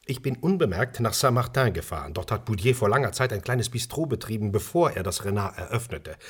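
An actor playing an intense, brooding chef turned amateur sleuth, telling his story with expressive drama in a radio crime play. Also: on the low side, brisk, slightly rough. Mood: intense, secretive.

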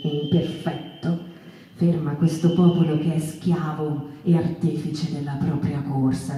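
An actress performing a wistful, impassioned female storyteller in a stage monologue, halting and repeating phrases, putting rhetorical questions to listeners. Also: low voice, dark tone. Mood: grave. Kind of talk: theatrical monologue, dramatic storytelling. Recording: echoey room, distant microphone.

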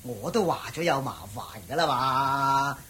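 Very raspy, whining chubby grumbler. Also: male voice, medium pitch, a little slow, pushed and bright, exaggerated voice. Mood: grumpy, reluctant. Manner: whining, sighing, exaggerated complaining. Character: chubby, grumbling, pessimistic male traveller, superstitious whiner. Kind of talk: Cantonese radio drama acting.

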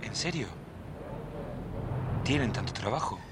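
Actor as Lorenzo, anxious man with swooping pitch. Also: lively intonation, a little slow, background noise, close to an everyday voice. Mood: inquisitive, uneasy. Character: Lorenzo, anxious inquisitive man who jots in a notebook. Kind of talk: radio drama acting.

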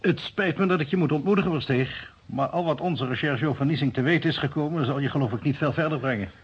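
Very husky, sceptical police colleague. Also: male voice, on the high side, fast talker. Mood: matter-of-fact. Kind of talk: radio play acting. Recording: muffled old radio play recording.

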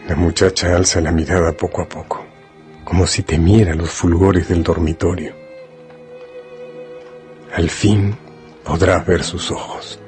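A solemn male storyteller for a radio drama, with a very low voice. His delivery is measured, intimate and hypnotic, over soft music. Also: flat delivery. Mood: entranced. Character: solemn, hypnotic male narrator addressing the listener as protagonist. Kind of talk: scripted literary radio narration. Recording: music behind the voice.

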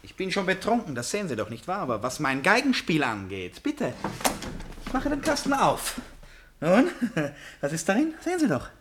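An actor in a German radio play as a drunken, domineering and lovesick stranger who swings abruptly from bullying to confiding. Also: male voice, high voice, huge pitch swings, normal speed, background noise. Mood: erratic, tipsy.

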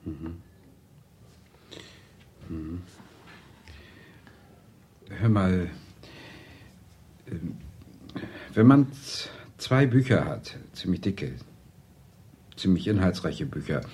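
An actor playing an inquisitive, thoughtful visiting lecturer in a radio play, asking questions in a hesitant, tentative way. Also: male voice, lowish voice, lively intonation, slow, pauses often, warm tone, husky, full character voice. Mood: hesitant, pondering. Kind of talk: naturalistic radio drama dialogue.

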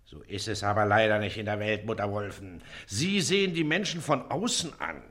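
Pompous village magistrate, swooping delivery. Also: male voice, fairly low pitch, lively intonation, moderate pace, fairly bright tone. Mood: pompous, lecturing. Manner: pompous, officious, emphatic. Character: pompous, suspicious Prussian village magistrate, self-assured and blustering. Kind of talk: classic German radio play acting.

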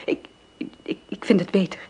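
A woman's voice: normal speed, dark tone, lightly acted. An actress playing a nervous young mother in a radio play, who stammers and hurries to dodge questions. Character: nervous young mother Sylvie, evasive and jumpy.

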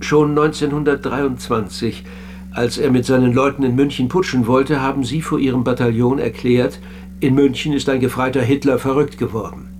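An actor playing a polite, persistent interviewer and narrator in a radio drama, speaking in a measured way. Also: male voice, mid-range voice, fairly even intonation, a little mellow, faint music behind, natural voice. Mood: pressing. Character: polite, probing male interviewer questioning a dead general.

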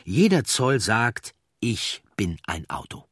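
A man reads scripted documentary narration in a measured, articulate manner. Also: fairly low pitch, big pitch swings.

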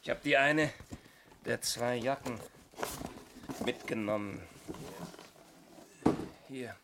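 A man's voice: medium pitch, slow pace, very noisy. An actor playing a shrewd detective reporting to his inspector in a laconic, knowing way, in a 1970s radio play. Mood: wry, confident.

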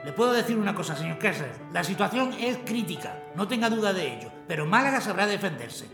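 Stern, domineering army officer. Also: male voice, high-pitched, big pitch swings, fast, creaky, music in the background, heavily acted. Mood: curt, grave. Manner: stern, commanding, clipped. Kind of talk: audio drama acting.

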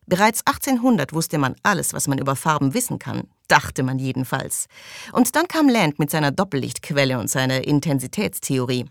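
A woman voicing talkative, opinionated settlers who grumble and hold forth in an animated way in an audiobook. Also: deep voice, vocal fry, dry recording, clean studio sound. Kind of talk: audiobook character dialogue.

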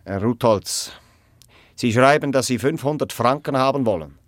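A man plays a stern interrogator in a radio play. His mid-pitched voice is recorded very dry, and he puts his questions in curt, formal, insistent bursts. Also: moderate pace, no echo, natural voice. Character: stern, probing official interrogating a job applicant. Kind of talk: radio drama dialogue.

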